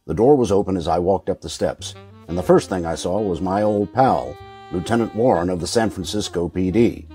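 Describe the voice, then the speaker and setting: Low, mellow, hardboiled private eye played by a man. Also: moderate pace, faint music behind, natural voice. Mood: dry, sardonic. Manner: dry, sardonic. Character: wry, world-weary, hardboiled male private eye. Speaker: lead actor and narrator. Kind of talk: old-time radio noir detective narration.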